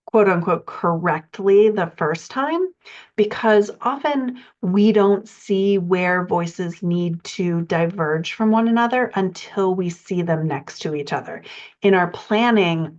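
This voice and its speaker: A woman with a somewhat low voice teaches like a writing coach, laying out her points in a measured and methodical order. The sound is slightly muffled and lo-fi, as in a modest recording. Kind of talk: instructional explanation.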